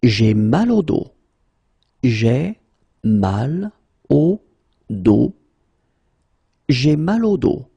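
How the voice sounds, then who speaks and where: A man teaching French in a pronunciation drill, slowly repeating each phrase and then saying it word by word. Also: mid-range voice, big pitch swings, gravelly, warm tone, breathy edge, no echo.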